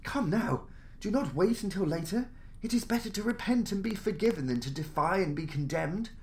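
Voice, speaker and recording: A man's voice: high-pitched, expressive, normal speed, darkish tone, a little hiss or hum, pitched above the performer's own voice. Man acting out a crazed, fervent submarine sailor. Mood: urgent pleading. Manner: urgent, fervent pleading.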